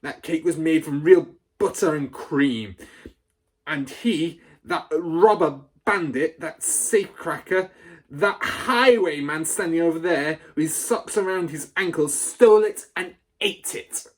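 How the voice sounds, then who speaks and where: A storybook reader voicing a huge, cruel, tyrannical headmistress who barks and booms insults. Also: expressive, takes it slowly, a little mellow, husky. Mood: furious, bellowing. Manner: barking, booming insults. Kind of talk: storybook read aloud.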